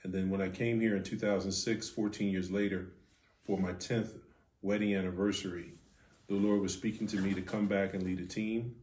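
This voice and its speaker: A man in his fifties from Philadelphia with an American accent, telling his story as a podcast guest in a measured, reflective way with deliberate pauses. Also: deep voice, flat delivery, a little mellow. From Philadelphia, United States. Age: 50s. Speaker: podcast guest, board president of a ministry. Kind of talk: interview answers, personal storytelling. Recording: echoey, reverberant room.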